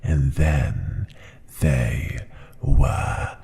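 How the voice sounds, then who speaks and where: An extremely deep, crackling dragon tyrant for an ASMR fantasy roleplay. The creature speaks very slowly and deliberately, often dropping into a breathy whisper. It sounds proud, cruel and menacing, a ruler who looks down on every listener. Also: male voice, mellow, heavily acted. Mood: cold, gloating. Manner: slow, deliberate, haughty and menacing.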